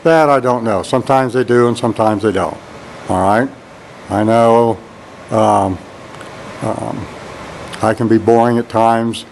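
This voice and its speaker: A networking instructor with a deep, monotone voice, rambling a little and saying "all right" often. He was recorded on the mic of a handheld camera as he walked through an empty computer lab. A faint hum of air conditioning sits behind him. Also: unhurried, creaky, long pauses, rough recording. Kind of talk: casual classroom tour walkthrough. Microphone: handheld camera mic while walking.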